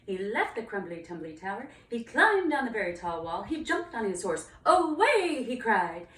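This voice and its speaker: A woman's voice: mid-range voice, very animated, moderate pace, a bit bright. A woman narrating a children's storybook with dramatic, emphatic storytelling. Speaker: storybook reader, cantor. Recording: roomy, distant mic, faint noise.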